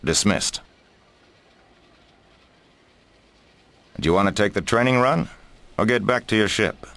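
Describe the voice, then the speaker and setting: Stern older commanding officer for a game, very deep, authoritative, closely recorded and dry. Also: male voice, lively intonation, fairly quick, creaky, a bit bright, no room sound, faint music behind. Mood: curt. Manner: clipped, authoritative. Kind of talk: video game cutscene voice acting.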